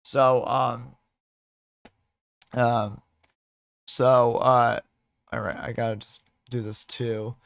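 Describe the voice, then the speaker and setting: A man with a slightly hoarse voice chatting casually as a livestream host, in a halting way with repeated and restarted phrases. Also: medium pitch, little pitch movement, a bit bright, dry recording.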